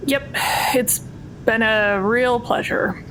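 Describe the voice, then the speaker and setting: A woman's voice: steady tone, slow pace. Woman acting, guarded female prisoner, dry, sardonic, terse, tabletop game, faint noise. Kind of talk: improvised tabletop roleplay. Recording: rumbly background noise.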